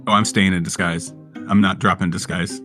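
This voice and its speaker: A man's voice: low voice, level tone, fairly quick, sharp tone, faint music behind. A man playing a tabletop role-playing game, chatting casually in slangy, sweary language.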